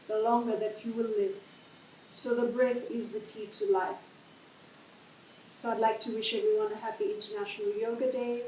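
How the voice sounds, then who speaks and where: Calm woman, fairly high voice, yoga practitioner, echoey room, set back from the mic, faint hiss. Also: far from the mic. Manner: calm and measured. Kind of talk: short personal message.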